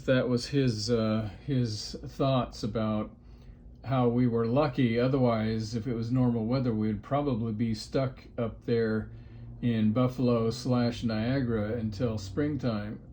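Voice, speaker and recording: A man from Ohio chatting on ham radio from a portable outdoor setup, unhurried and halting with frequent fillers. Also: medium pitch, warm tone, slight background noise. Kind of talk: casual radio chat.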